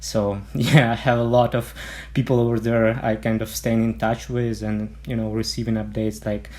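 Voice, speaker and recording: A man from Ukraine, a guest on a radio interview, who speaks haltingly and fills with "like" and "uh". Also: on the low side, level tone, a little mellow.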